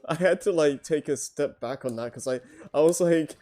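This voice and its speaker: A man's voice: fairly high pitch, moderate pace, mellow. A man co-hosting a podcast in casual, conversational chat.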